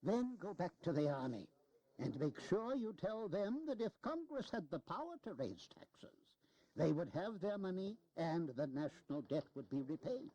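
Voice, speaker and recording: An actor playing a shrewd, philosophical old statesman in a historical audio drama, speaking in deliberate, theatrical oratory. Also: high-pitched, sing-song, dark tone, no room sound, low-quality recording. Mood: persuasive.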